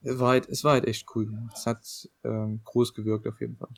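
A young man co-hosting a casual podcast chat, relaxed and rambling, with many fillers and tag questions. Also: mid-range voice, fairly quick, gravelly, no room sound, clean studio sound. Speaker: podcast co-host.

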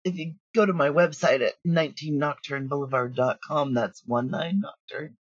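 A woman's voice: sits low, normal speed, breathy edge, no echo, lo-fi sound. A woman talking casually as an interview guest, recorded remotely from home. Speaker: audio drama writer and producer, interview guest.

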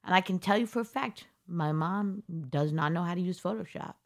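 A woman's voice: fairly low pitch, a little fast. A young woman co-hosting a podcast, chatting casually in a rambling, self-deprecating way. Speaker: podcast co-host. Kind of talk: casual chat.

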